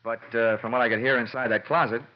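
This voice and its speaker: A man's voice: medium pitch, steady tone, fast talker, lightly acted. A man playing a wry, world-weary insurance investigator in a classic radio detective drama, with dry, slangy delivery. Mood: dry, assured. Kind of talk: classic radio detective drama acting, hard-boiled narration. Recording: muffled old radio recording with music.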